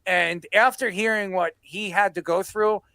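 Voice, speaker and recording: A sports talk show host giving opinionated commentary in an emphatic, declamatory way with a creaky voice. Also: medium pitch, steady tone, unhurried, bright, forceful tone.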